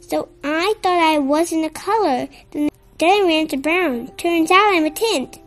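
Very high, slow-reading child's voice. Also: fairly bright tone. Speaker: storybook reader. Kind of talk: children's picture book read-aloud.

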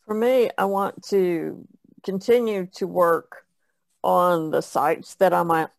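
A woman's voice: low-pitched, gravelly, dry recording. A woman speaking as an artist on a podcast panel, in casual chat.

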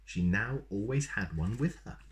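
A man in his thirties reading a children's story aloud in an expressive, playful way. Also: on the low side, moderate pace. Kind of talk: animated read-aloud with asides to young listeners. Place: small plain room indoors.

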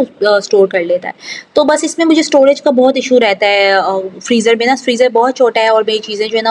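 A woman with a slightly high voice narrates a home vlog. She talks casually and conversationally, as if chatting to viewers. Her talk rambles and leans on repeated filler phrases. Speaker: home vlogger.

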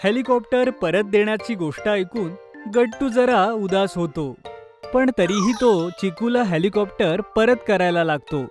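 A woman's voice: medium pitch, measured pace, a little mellow, faint music behind. A woman reading scripted narration for a children's cartoon story.

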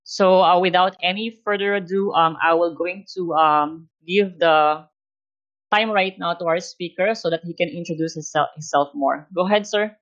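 Dull, lo-fi online audio carries a woman with a lowish voice. She moderates a webinar, speaking carefully and haltingly through introductions and instructions.

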